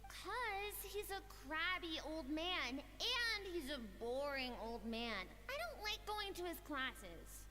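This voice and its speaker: A woman voicing a cheeky, restless young girl in a game, talking in an excitable, blunt way. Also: extremely high pitch, slow, hardly any pauses, big-room sound, full character voice. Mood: excited. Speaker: game character.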